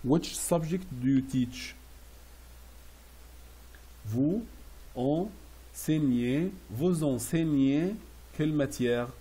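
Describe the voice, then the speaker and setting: A man teaching French classroom phrases, repeating each slowly and translating it into English. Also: mid-range voice, dark tone, breathy edge. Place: Riyadh.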